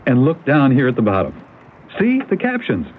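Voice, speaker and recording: Playful husband, keen camera hobbyist, animated teasing delivery, radio drama style, thin band-limited noisy recording. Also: male voice, mid-range voice, moderate pace. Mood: excited, curious. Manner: teasing, enthusiastic, chatty with small hesitations. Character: playful husband, keen amateur photography buff. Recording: tinny, noisy old radio broadcast recording.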